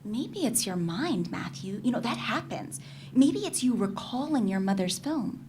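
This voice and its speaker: A woman's voice: on the high side, very animated, a little slow, darkish tone. Woman acting candid, curious girlfriend, noisy live recording. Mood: skeptical, curious. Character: candid, curious, skeptical girlfriend probing her lover's secrets. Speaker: actor in a staged reading. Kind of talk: dramatic stage reading of a novel.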